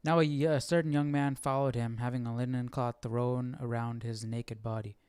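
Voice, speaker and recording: A man's voice: medium pitch, fairly even intonation, normal speed, a little mellow, a little hiss or hum. A man hosting a Bible study, giving casual, informal commentary as he muses aloud.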